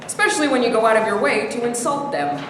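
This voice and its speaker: A woman's voice: a little high, sing-song, quick pace. Echoey, cutting woman acting a scolding alter ego. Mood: mocking. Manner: argumentative, cutting, emphatic. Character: scolding, needling alter ego who mocks and challenges. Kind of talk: staged play reading. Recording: very echoey hall, faint noise.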